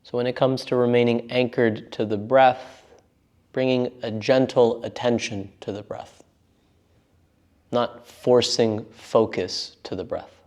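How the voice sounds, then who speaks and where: Gentle male meditation teacher. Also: mid-range voice, fairly even intonation, a little slow. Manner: gentle, unforced, reassuring. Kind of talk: guided meditation instruction.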